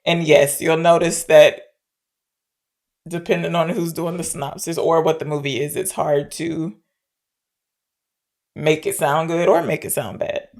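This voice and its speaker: A woman's voice: low voice, flat delivery, a little slow, pauses often, darkish tone. A woman co-hosting a podcast, casually and playfully discussing films. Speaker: podcast co-host.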